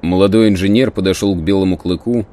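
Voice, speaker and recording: A man narrating an adventure story in a measured, even storytelling manner. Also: deep voice. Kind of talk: scripted narration of a radio play.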